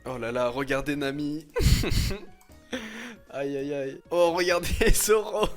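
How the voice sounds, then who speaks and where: A man, chatting casually on a livestream in a slangy, teasing, expressive way. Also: on the high side, slow pace, faint music behind. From France. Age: 20s. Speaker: livestreamer reacting to images and chat. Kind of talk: casual chat, livestream commentary.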